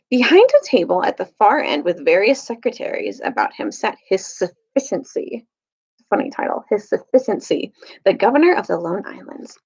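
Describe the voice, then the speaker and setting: A woman leading a casual storytime as a teacher, speaking haltingly, searching for her words and correcting herself. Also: medium pitch, gravelly, breathy edge. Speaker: storytime teacher reading aloud. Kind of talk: casual recap and storybook read-aloud. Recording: dull lo-fi recording.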